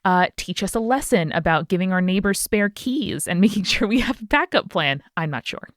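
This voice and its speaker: A woman's voice: medium pitch, lively intonation, moderate pace, no echo, clean studio sound. A woman co-hosting a podcast, telling a story in a casual, playful, conversational way. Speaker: podcast host.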